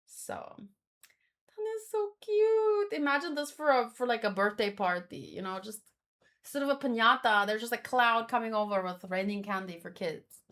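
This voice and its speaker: A woman hosting a read-along in a casual live commentary style, with expressive, exclamatory reactions. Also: on the high side, normal speed. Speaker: host of a manga read-along stream.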